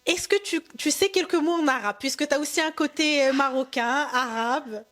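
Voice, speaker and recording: A woman's voice: high voice, a bit bright. A woman with a Moroccan accent hosting a podcast interview, mixing French and Moroccan Arabic. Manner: switches between French and Darija, quick intro. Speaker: podcast host.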